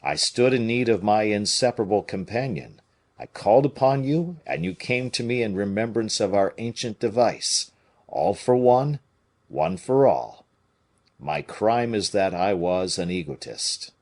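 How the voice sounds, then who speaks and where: A man reading an audiobook, voicing a grave, measured conspirator bishop who speaks in a solemn, placating way. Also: on the low side, lively intonation, a little mellow. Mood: remorseful, pleading. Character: Aramis, grave, smooth-talking conspiring bishop.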